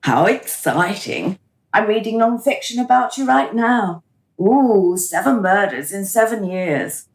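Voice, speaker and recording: An actress playing a cool, smug administrator of a dystopian afterlife in a radio drama, officious and mocking. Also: female voice, medium pitch, animated, a little slow, echoey room. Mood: amused, curious.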